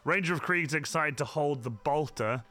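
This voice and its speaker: Bloke streaming his prop build, bright voice, swears loads, bit of music in the background. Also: on the high side, normal speed. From Wales. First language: Welsh. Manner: chatty, sweary, reads chat aloud. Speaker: cosplay streamer. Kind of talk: casual live-stream chat while crafting. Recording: faint music behind.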